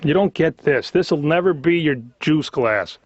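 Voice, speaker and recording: A man's voice: on the high side, lively intonation, measured pace, dry recording. A man doing a conversational observational comedy monologue, complaining in a neurotic, exasperated way. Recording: slightly dull lo-fi cartoon soundtrack.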